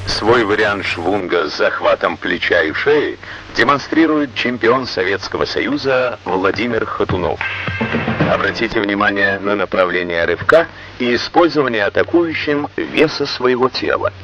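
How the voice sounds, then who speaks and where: A man reading scripted instructional narration in a formal, measured, didactic way, on an old Soviet educational film soundtrack. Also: fairly low pitch, animated, highs cut off, music in the background.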